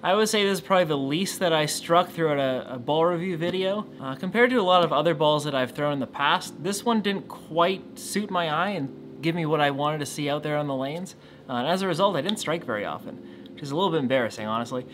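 A man's voice: a little high, fast, fairly bright tone, a little hiss or hum. A man in his thirties gives a casual bowling ball review in a large bowling alley, muttering play-by-play between throws. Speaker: pro shop ball reviewer.

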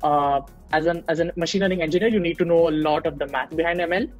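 A man's voice: a little high, steady tone, fast talker, faint music behind. Young man from India on a video call. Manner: explanatory, many fillers and restarts. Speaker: machine learning engineer guest. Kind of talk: casual interview on a video call. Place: small room at home. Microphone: earbuds on a video call.

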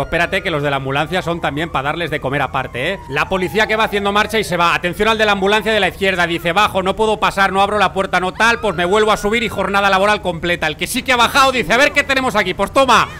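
High-voiced Spanish gaming commentator, bright. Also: male voice, quick pace, hardly any pauses, slight background noise. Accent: Spain Spanish. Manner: animated, colloquial, joking. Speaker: gaming video creator. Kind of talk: comic gameplay commentary.

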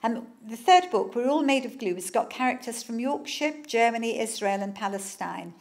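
Gravelly-voiced woman author reading aloud. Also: fairly high pitch, normal speed. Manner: expressive reading, lively narration. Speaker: author, keynote speaker. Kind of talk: reading from her novels at a conference. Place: lecture hall. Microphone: lectern microphone.

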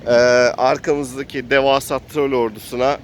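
A man's voice: mid-range voice, level tone, moderate pace. A man casually improvising the narration of a role-playing game in a playful way, as both game master and player. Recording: clear outdoor traffic and ambient noise.

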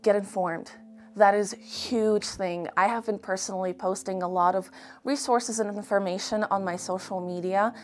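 Young woman from Ukraine, measured speaker. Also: medium pitch, steady tone. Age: young adult. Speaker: interview guest. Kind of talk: earnest interview answers. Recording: music behind the voice. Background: background music.

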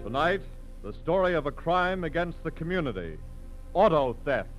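A man's voice: on the high side, very animated, moderate pace, soft background music. An American man making a formal, declamatory 1940s radio announcement. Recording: vintage radio, loud musical backing.

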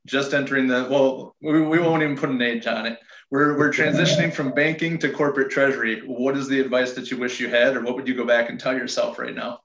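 A man's voice: mid-range voice, a bit bright. A man in his thirties hosting a live interview on a video call, friendly and casual with some restarts. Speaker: interview host. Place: home office, indoors. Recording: roomy, muffled video call audio.

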